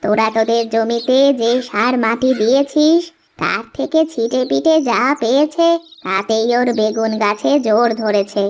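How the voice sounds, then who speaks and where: A woman playing a gentle, wise village mother in a children's cartoon, soothing and admonishing. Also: on the high side, measured pace. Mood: explaining patiently. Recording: faint noise with bird chirps.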